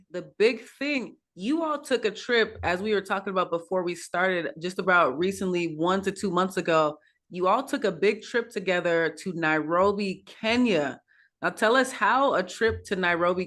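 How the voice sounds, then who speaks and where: A woman's voice: lowish voice, animated, normal speed, a bit bright. A woman hosting a panel over a video call, upbeat and starting her sentences with "now". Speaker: host.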